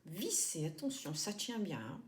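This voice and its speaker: A woman's voice: fairly low pitch, very animated, measured pace. Lady chatting through a card reading, lots of euh, roomy and noisy. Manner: chatty, rambling, frequent fillers and self-corrections. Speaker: tarot reader. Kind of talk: casual tarot reading. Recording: echoey room with clear background noise.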